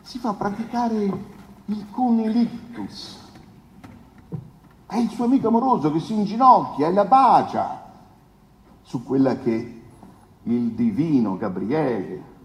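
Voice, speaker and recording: An actor playing a pompous, insinuating defence lawyer who declaims to the court with rhetorical pauses and questions. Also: male voice, sits high, animated, exaggerated voice. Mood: insinuating, emphatic. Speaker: stage actor. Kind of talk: theatrical courtroom oratory. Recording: echoey hall with long reverb.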